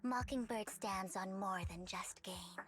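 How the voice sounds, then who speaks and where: An actress playing a composed, resolute and gently mysterious young woman in a video game, with polite, elegant phrasing. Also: mid-range voice, unhurried, faint music behind, natural voice.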